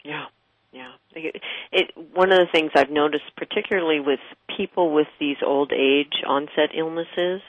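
A woman's voice: low voice, moderate pace, no echo, phone-quality sound. A woman hosting an interview, enthusiastic and affirming, often laughing along with her guest. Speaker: interview host. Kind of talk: conversational interview.

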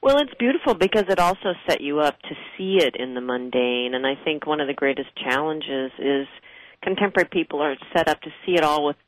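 An interviewer asks open questions and answers with encouraging affirmations. The voice moves widely in pitch. It is recorded close and dry. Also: expressive, fairly quick, no room sound, rough recording. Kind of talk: conversational interview.

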